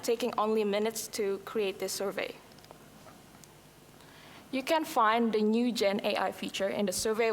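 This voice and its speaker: A woman whose first language is Indonesian, presenting a scripted software demo at a conference, with a few slips and restarts. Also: mid-range voice, a little hiss or hum. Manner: reads prepared lines, occasional slips and restarts. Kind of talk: scripted product demo talk. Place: conference hall. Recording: long hall reverb, faint ambience.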